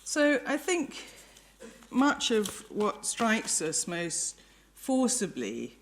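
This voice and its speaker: A woman's voice: on the high side, very animated, very slow, a little hiss or hum. A woman with an English accent giving a public academic lecture, thinking aloud in reflective, sometimes hesitant phrasing with self-corrections. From England. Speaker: lecturer, professor. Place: lecture hall before an audience.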